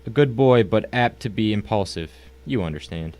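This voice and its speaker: A man playing a smug, courteous, wealthy crime boss in an audio drama, with suave, mannered, drawn-out politeness. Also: on the low side, expressive, a little mellow, natural voice. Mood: mock courteous.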